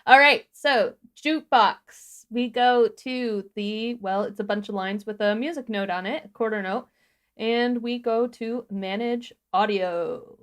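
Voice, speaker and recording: A woman's voice: on the high side, measured pace, fairly bright tone. A woman co-hosting a livestream tutorial, talking in an enthusiastic and informal way as she explains things. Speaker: livestream co-host. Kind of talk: casual software tutorial chat.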